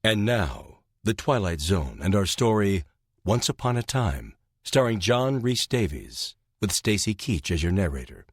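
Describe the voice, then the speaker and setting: Deep, husky male announcer. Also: sing-song, unhurried, dry recording. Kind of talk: radio programme announcement.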